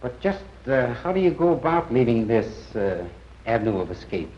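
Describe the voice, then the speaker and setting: A man's voice: mid-range voice, very animated, normal speed, close to an everyday voice. A man playing a wry, casual secret agent being briefed in a 1940s training film. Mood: dry, casual. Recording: muffled, noisy 1940s film soundtrack.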